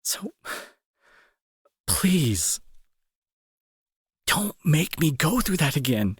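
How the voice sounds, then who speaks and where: A young man playing a gruff school bully who is fearful and protective underneath, in an intense, halting, pleading audio roleplay style. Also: fairly high pitch, big pitch swings, a bit bright, dry recording, clean studio sound, heavily acted. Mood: anguished. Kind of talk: dramatic audio roleplay acting.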